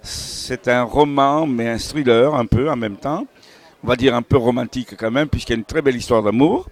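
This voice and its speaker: A male author talks off the cuff about his novels at a medium pitch. He often pauses to search for words and wanders into side thoughts, as someone explaining his work in an interview. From Italian family background. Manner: halting, searching for words, digressive. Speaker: novelist presenting his books. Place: book festival.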